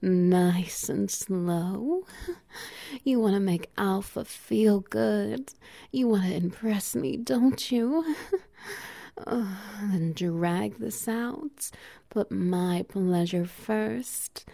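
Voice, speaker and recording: A woman in an intimate audio roleplay, speaking in a slow, teasing, commanding way that often drops into whispers. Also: medium pitch, mellow, no room sound. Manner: breathy little laughs between lines. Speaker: erotic audio roleplay performer.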